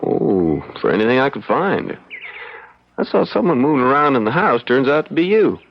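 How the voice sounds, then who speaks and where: A man playing a modest, self-deprecating young frontier lawyer in a radio drama, with a gravelly voice and halting, folksy, plain-spoken delivery. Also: mid-range voice, big pitch swings, slight background noise. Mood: politely probing. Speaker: actor. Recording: muffled radio drama recording.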